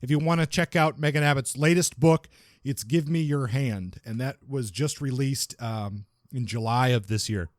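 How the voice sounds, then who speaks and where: A man's voice: mid-range voice, moderate pace, dry recording. Male podcast host, dry close sound. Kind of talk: casual podcast talk with announcements to listeners.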